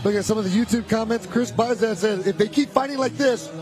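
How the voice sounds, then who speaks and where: A man's voice: sits high, a little fast. A man giving live play-by-play commentary on a boxing match and questioning his guest analysts. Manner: energetic, asks guests questions. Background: music and crowd noise.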